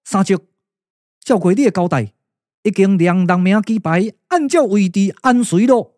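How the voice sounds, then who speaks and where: A man's voice: sits high, moderate pace, darkish tone, no room sound, close to an everyday voice. A man voicing a dutiful young warrior in a puppet radio drama, declaiming respectfully.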